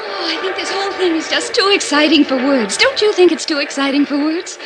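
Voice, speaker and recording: An actress playing a bubbly, naive young woman in a 1950s radio drama, chattering in an excited gush. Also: very high voice, fairly quick, over music. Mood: gushing excitement. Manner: gushing, breathless chatter. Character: bubbly, gushing, naive young female party guest. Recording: noisy old radio recording.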